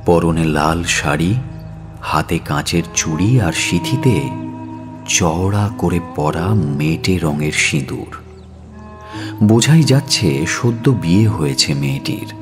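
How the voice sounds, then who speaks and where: A man with a very low voice narrates a dramatic story. He is solemn and expressive, taking his time over each phrase, with music clearly behind him.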